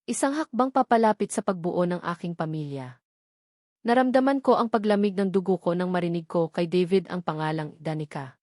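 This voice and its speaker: A woman's voice: on the low side, brisk, darkish tone, no room sound, studio quality. A woman reading scripted first-person narration of a dramatic story.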